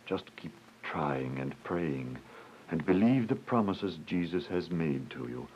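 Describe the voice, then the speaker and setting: Actor as low-voiced gentle, fatherly comforter. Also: lively intonation, slow pace. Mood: solemn, encouraging. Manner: tender, exhorting, reassuring. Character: Tom, gentle, fatherly, devout comforter and mysterious visitor. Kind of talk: religious radio drama acting. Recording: dull, noisy lo-fi radio drama.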